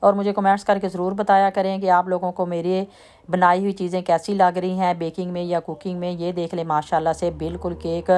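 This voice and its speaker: A woman with a slight lilt, chatting in a relaxed way as she walks through a home baking recipe step by step. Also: lowish voice, fairly quick. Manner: relaxed, chatty, trails off softly at sentence ends. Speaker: home cooking vlogger. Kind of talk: casual step-by-step baking talk.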